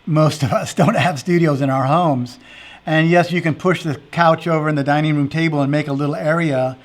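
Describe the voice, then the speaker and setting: A man's voice: a little high, fairly even intonation, a little fast. A man hosting a virtual festival and interviewing dancers, who talks in an earnest, meandering way full of fillers. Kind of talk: casual interview chat.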